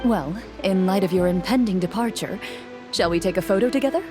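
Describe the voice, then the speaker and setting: A woman's voice: medium pitch, fairly quick, music in the background, close to an everyday voice. A woman playing a composed, formal, courteous figure of authority in an anime game.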